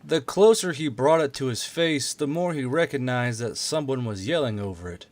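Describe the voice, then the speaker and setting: A man's voice: medium pitch, animated, moderate pace, no room sound. A man reading scripted story narration as an audiobook narrator.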